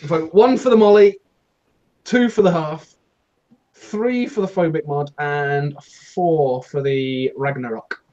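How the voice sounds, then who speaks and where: A British man with a fairly high voice chats casually as a show co-host. The sound is a bit dull and lo-fi. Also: slow, darkish tone. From United Kingdom. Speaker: co-host of a vaping talk show. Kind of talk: casual panel chat.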